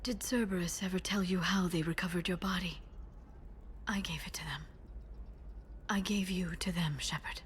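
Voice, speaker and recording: A woman playing a guarded, driven young alien information broker bent on revenge, in an earnest, measured and intense manner for a video game. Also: lowish voice, a little hiss or hum, lightly acted. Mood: guilty, confessional. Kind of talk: cinematic video game voice acting.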